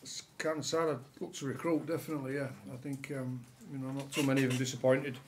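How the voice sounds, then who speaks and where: Coach with a relaxed, halting delivery. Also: male voice, mid-range voice, slight background noise. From England. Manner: relaxed, many fillers and restarts. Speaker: rugby league head coach. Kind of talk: post-match press conference answers. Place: press room with sponsor board behind.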